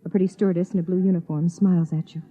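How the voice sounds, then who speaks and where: A woman's voice: on the low side, level tone, racing, lightly acted. An actress playing an uneasy woman passenger hiding a secret, in a 1950s radio drama. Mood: tense, hushed narrating. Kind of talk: 1950s radio drama, second-person narration. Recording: muffled, tinny 1950s radio recording.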